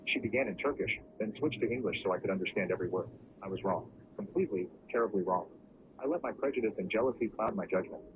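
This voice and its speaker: A man narrating a first-person story in a steady, even storytelling delivery. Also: lowish voice, quick pace. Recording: muffled lo-fi recording with clear background noise.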